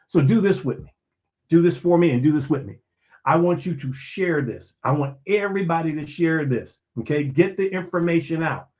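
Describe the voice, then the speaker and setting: A man delivering a motivational talk in a high, lively voice that swings up and down as he presses his points. He repeats key phrases for emphasis and answers his own questions, like a preacher. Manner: asks himself questions and answers them. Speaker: motivational speaker.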